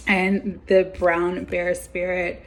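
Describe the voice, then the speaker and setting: A woman doing a weekly tarot card reading, talking gently and meandering with many fillers while she is losing her voice. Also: fairly low pitch, poor audio.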